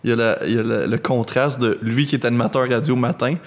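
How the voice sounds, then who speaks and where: A man's voice: medium pitch, steady tone, quick pace. Chatty male podcast co-host. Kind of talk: casual podcast interview.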